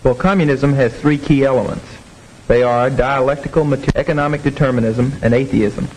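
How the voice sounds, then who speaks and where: A man's voice: medium pitch, fairly even intonation, fairly quick, darkish tone, close to an everyday voice. A man giving a formal scripted answer as a student in a 1950s educational film, on a noisy soundtrack.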